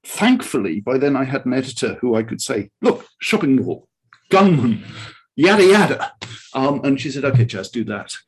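Male podcast co-host, mid-pitched with a husky edge, talking informally and repeating words as he goes. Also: very animated, moderate pace, rough recording. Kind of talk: casual chat.

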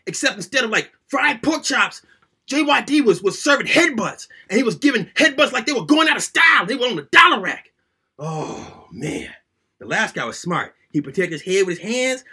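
A man reading a first-person story aloud in an animated, conversational, colloquial way, like someone recounting childhood memories. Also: extremely high pitch, normal speed, breathy edge.

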